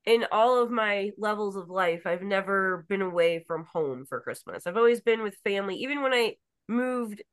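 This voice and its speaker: A woman chatting on a podcast, recounting a family story and dropping "like" as she goes. Her voice is smooth and clear, and the recording is dry and close. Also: medium pitch, expressive, normal speed, hardly any pauses, no echo. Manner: storytelling, with frequent "like". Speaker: podcast guest. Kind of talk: casual chat.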